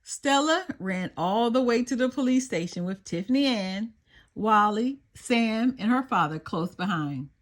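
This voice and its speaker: Female children's storybook narrator, expressive, higher-pitched, with wide swings in intonation. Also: unhurried. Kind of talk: expressive read-aloud narration.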